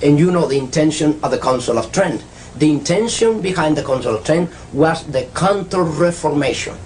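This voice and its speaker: A man's voice: fairly high pitch, a little mellow, rough recording. A man speaks like a teacher of prophecy. He repeats key phrases for emphasis and expounds step by step. The interview recording carries light noise. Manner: emphatic, repetitive, didactic. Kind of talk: interview answers, expounding church history and prophecy.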